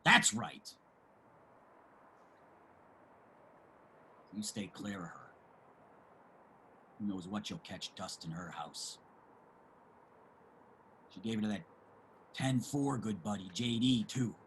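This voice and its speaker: An actor playing a brash, boastful, crude homeless ex-sailor with a rough voice in a play reading. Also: male voice, lowish voice, slow, long pauses, pushed and bright, slight background noise. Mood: scornful, confiding. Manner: combative, crude street talker. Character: brash, boastful, gossiping homeless ex-merchant-marine man. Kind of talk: theatrical table reading of a stage play.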